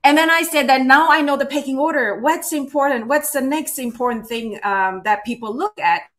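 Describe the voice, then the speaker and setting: A woman's voice: high-pitched, moderate pace, a bit bright. A woman giving a virtual workshop as a cultural awareness trainer, talking conversationally and checking in with the audience often. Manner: conversational, checks in with frequent "right?" and "you know". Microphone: video call.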